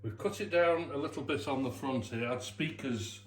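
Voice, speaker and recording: A British man explaining his restoration work in a halting, matter-of-fact, colloquial way. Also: mid-range voice, a crowd in the background. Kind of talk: casual hands-on explanation. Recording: roomy, off-mic, background hum and noise.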